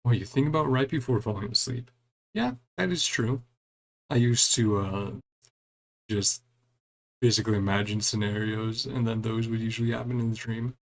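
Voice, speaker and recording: A man's voice: on the low side, steady tone, normal speed. A man giving casual gameplay commentary, reading game dialogue aloud and adding wry, chuckling reactions in a laid-back way. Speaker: gaming streamer doing a playthrough.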